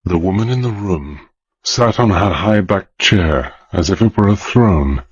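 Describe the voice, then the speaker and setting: A courteous British war hero in a radio spy thriller. The voice is low and rough-edged, earnest in both dialogue and narration, on a slightly dull recording. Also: male voice, lively intonation, unhurried, exaggerated voice. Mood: awed narration. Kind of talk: radio drama acting and narration.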